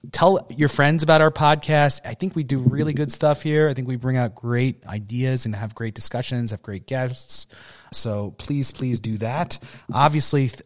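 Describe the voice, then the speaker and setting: A man co-hosting a podcast as a rabbi, upbeat and laughing, with rambling questions. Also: mid-range voice.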